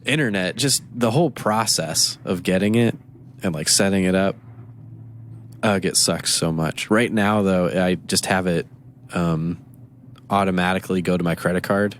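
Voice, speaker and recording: A man with a very deep voice talks loosely in a casual podcast chat. He rambles and acts out little quoted exchanges as he tells a story. A faint hiss sits under the recording. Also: moderate pace. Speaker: podcast co-host.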